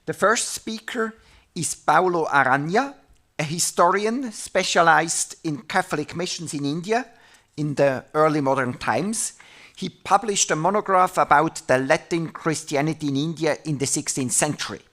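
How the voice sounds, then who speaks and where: Halting panel chair. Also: medium pitch, fairly bright tone. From Switzerland. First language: not English. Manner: halting, searching for words with many pauses. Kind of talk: introducing a conference panel.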